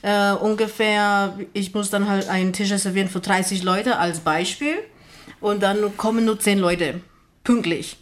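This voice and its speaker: A woman's voice: medium pitch, fairly even intonation, a little slow, a little hiss or hum. Texan woman speaking hesitant German. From Houston, Texas, USA. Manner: non-native German with grammar slips and many fillers. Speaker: panel guest. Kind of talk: casual radio panel chat.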